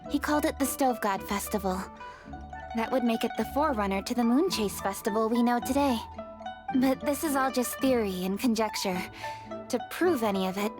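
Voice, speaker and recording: A woman's voice: a little high, natural voice. An actress playing an earnest, composed young noblewoman official in a video game. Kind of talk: scripted video game voice acting.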